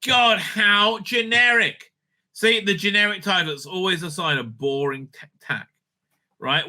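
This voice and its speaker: A man's voice: on the high side, pushed and bright. A man hosting a casual, off-the-cuff review commentary, blunt, opinionated and sweary, rambling and repeating words as he goes. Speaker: host reviewing audio drama releases.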